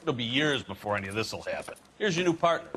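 An actor playing a brisk, matter-of-fact senior policeman showing a rookie around in a video game cutscene. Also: medium pitch, very animated, sharp tone, slight background noise, low-quality recording. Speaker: police superior.

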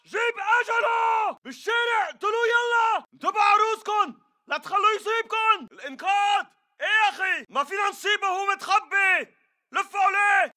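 Shouting Levantine Arabic militant fighter. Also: male voice, extremely high pitch, heavily acted. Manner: terse, barked battle callouts. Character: aggressive male militant fighter in combat, hostile and urgent. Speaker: game voice actor. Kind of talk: shouted video game combat callouts.

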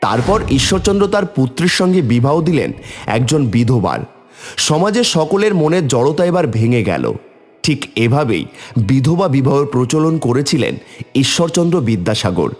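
A man's voice: mid-range voice, very animated, moderate pace, faint music behind. A man narrating a scripted story in a dramatic storytelling style.

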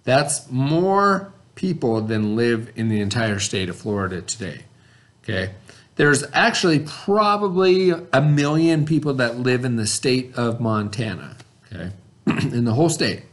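A man's voice: medium pitch, very animated, a little slow. Middle-aged American male, mid-range voice, elementary teacher doing a classroom read-aloud, explanatory delivery. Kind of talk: read-aloud lesson with explanations to young pupils. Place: indoor school classroom.

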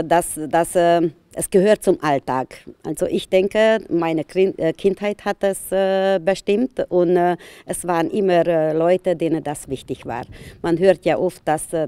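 A middle-aged woman interviewed outdoors, answering with many pauses, filler sounds and restarts into a handheld mic. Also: deep voice, dark tone, dry recording. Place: outdoors in a village street. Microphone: handheld reporter mic.

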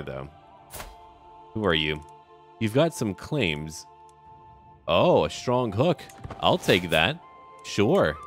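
A man with a low-pitched voice plays through a strategy game in character. His intonation is lively and theatrical as he muses aloud in short phrases. Music plays behind him. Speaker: gamer narrating a strategy game playthrough. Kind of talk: in-character roleplay game commentary.

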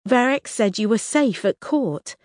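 A woman voicing a cautious, sharp-minded young woman in an audiobook, with a guarded, questioning manner. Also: on the high side, expressive, measured pace, no room sound, clean studio sound, pitched above the performer's own voice. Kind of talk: audiobook character reading.

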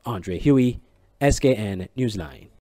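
A man's voice: on the low side, lively intonation, slow. A man reading scripted news reports as a reporter.

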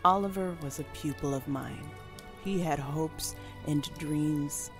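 A woman playing a calm, stern witch inquisitor with composed, formal speech in a visual novel. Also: deep voice, slow, faint music behind.

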